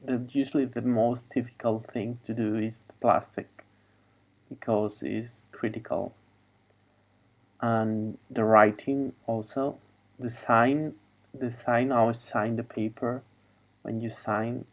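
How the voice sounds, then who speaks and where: A man whose first language is Spanish, talking in a halting, wandering monologue over a video call. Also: medium pitch, steady tone, poor audio. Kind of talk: rambling monologue.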